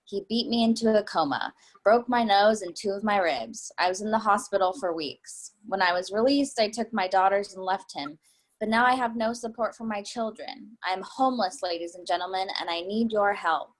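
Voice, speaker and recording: Woman acting middle-aged beggar woman, rehearsed plea, sympathetic tone, medium pitch, play reading. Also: moderate pace, close to an everyday voice. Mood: rehearsed pleading. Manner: pleading, rehearsed.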